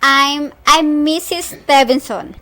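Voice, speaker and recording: A nervous, demanding wife alone at home, played by a young woman in an amateur radio drama. The voice is high and the lines come slowly, with stumbles over the words. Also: sharp tone, exaggerated voice. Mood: shaken. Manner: halting line delivery, stumbling over words. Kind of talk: amateur radio play acting.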